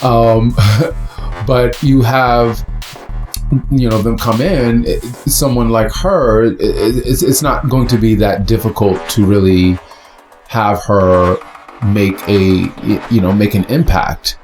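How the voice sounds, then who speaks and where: A man's voice: fairly low pitch, fairly even intonation, slow, a little mellow, faint music behind. A man from the United States giving casual, rambling commentary with many fillers and restarts. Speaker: host.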